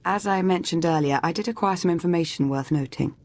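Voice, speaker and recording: A woman's voice: on the low side, a little fast. A woman voicing a shrewd imperial adviser in a video game, articulate and formal with dry wit. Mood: dry, thoughtful. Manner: articulate, formal, dryly witty. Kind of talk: video game character acting.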